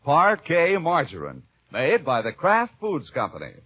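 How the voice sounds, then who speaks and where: A man's voice: on the high side, wildly swooping pitch, measured pace. A man with an American accent announcing on an old radio show, reading sponsor copy and scripted narration. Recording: old radio broadcast, faint music behind.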